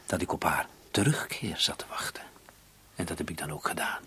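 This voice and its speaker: An actor playing a defensive, irritable murder suspect in his late thirties, husky and breathy, in a radio drama. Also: a bass voice, a little fast, fairly bright tone, whispered, exaggerated voice. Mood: bitter confession. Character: defensive, irritated 37-year-old male murder suspect in love. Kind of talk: Dutch-language radio drama dialogue.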